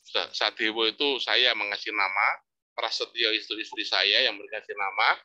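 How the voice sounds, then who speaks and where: Older man, professor giving a campus talk, very bright tone, storytelling lilt. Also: fairly low pitch, moderate pace. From Indonesia. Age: 60s. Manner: storytelling, lively rising emphasis, religious exclamations. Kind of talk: informal religious study lecture.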